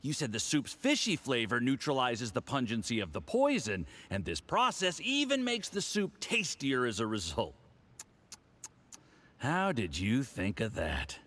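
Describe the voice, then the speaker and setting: A man's voice: medium pitch, sing-song, measured pace, fairly bright tone. An actor playing a boastful, commanding male agent in a video game, with a hearty, condescending, theatrical manner. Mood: tipsy, admiring. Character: boastful, hard-drinking male foreign agent, smugly commanding.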